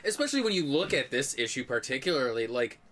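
Animated guy with a bright voice chatting on a podcast, blunt and informal. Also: mid-range voice, quick pace. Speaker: podcast co-host. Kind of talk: casual chat about comics.

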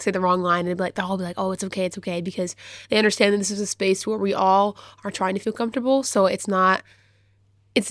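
A woman's voice: mid-range voice, no echo, clean studio sound. A young woman in her late teens chatting casually as a student guest, rambling and self-deprecating, saying like often. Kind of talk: casual chat.

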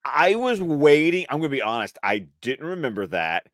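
A man's voice: a little high, sing-song, moderate pace, no echo, clean studio sound. A man co-hosting a casual commentary stream, stressing his points emphatically and often repeating phrases. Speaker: co-host of a cartoon episode review stream.

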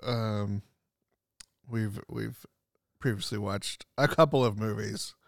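A man's voice: fairly low pitch, takes it slowly, creaky, no room sound, studio quality. A man co-hosting a casual podcast, playful and joking, laughing a lot. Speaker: podcast co-host.

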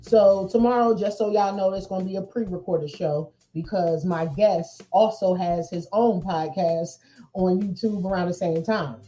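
A woman's voice: mid-range voice, fairly even intonation, moderate pace, warm tone, faint music behind, low-quality recording. A middle-aged woman co-hosting a podcast, bantering casually in a frank, teasing, slangy way. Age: 40s or older. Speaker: podcast co-host and poetry show host.

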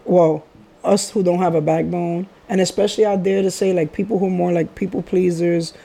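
A man's voice: high-pitched, flat delivery, brisk, vocal fry, dark tone. A middle-aged man from the Bronx hosting a podcast, talking in a reflective, rambling way and laughing often. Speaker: podcast host. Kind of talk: casual podcast chat.

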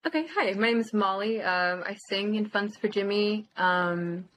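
A nineteen-year-old woman from Southern California who sings in a band. She speaks at a middling pitch and chats casually, hesitating with plenty of ums. A faint hiss sits under her voice. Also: normal speed, fairly bright tone, poor audio. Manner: hesitant, many ums, youthful. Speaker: band singer. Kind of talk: casual interview chat.

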